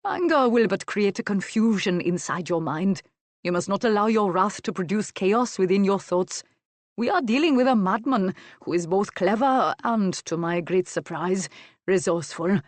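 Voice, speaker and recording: Wise, calm manservant voiced by a female reader, formal measured counsel, storybook drama, dry sound. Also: medium pitch, no echo, lightly acted. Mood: soothing, grave. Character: calm, wise male servant counselling his lord. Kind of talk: audiobook character acting.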